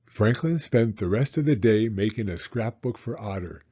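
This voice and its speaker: A man reading a children's story in an expressive, deliberate narrating style with theatrical emphasis. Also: fairly low pitch, breathy edge, lo-fi sound.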